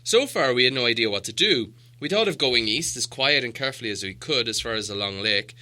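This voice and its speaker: A man's voice: mid-range voice, lively intonation, a little fast, sharp tone. A man voicing a proud, grumbling dwarf leader in a storybook, weighty and sceptical in manner. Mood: earnest.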